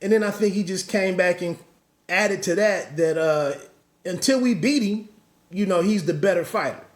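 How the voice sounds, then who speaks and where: A man's voice: high-pitched, moderate pace, echoey room. A man hosting a podcast, giving solo boxing commentary in a rambling way with many restarts and asides.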